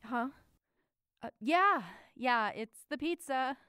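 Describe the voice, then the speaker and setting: A sardonic, weary woman in a sci-fi audio drama, acted by a woman. Her middle-pitched voice is expressive and closely recorded, with a guarded edge of sarcasm. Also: slow pace, clean tone, no room sound, studio quality, exaggerated voice. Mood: startled. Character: guarded, sardonic working woman with cybernetic arm.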